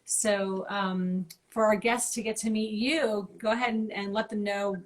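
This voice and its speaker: A woman's voice: medium pitch, steady tone, a little slow. A woman hosting a live-streamed interview show, welcoming her guest in an upbeat, casual way. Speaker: show host. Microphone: live video stream from home.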